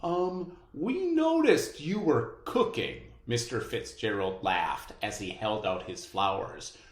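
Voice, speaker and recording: A teacher reading a story aloud to a class and giving instructions, with expressive delivery. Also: mid-range voice, slow. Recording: echoey room.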